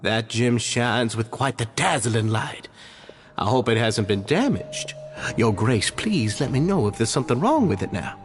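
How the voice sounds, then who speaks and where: A man's voice: mid-range voice, lively intonation, unhurried, faint music behind, natural voice. An actor playing a polite, deferential male society leader in a video game. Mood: courteous.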